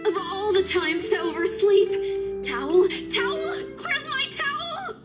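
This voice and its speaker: A man voicing a flustered young woman heroine in a visual novel, in a squeaky falsetto. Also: slow pace, loud music behind the voice. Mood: panicked. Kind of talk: reading game dialogue aloud.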